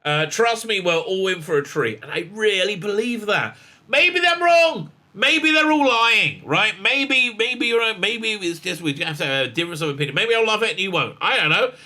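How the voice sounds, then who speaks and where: A man hosting a fan news show, reading headlines and breaking off into excitable asides with many fillers and laughs. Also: high voice, moderate pace. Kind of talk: casual commentary reading news aloud.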